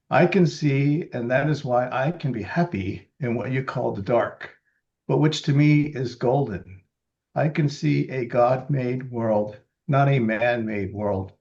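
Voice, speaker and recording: A man in his seventies from Los Angeles reads his own poems slowly and deliberately, with halting, chatty asides between them. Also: medium pitch, gravelly, a little mellow, muffled recording. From Los Angeles, USA. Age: 70s. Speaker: poet reading his libretto. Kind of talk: poetry reading with casual asides.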